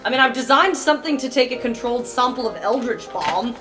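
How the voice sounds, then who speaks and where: Practical student inventor played by a woman, slightly high voice, casual explainer, fantasy tabletop game, faint music. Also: lively intonation, a little fast, fairly bright tone. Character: matter-of-fact inventive student engineer Gary. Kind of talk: improvised tabletop roleplay.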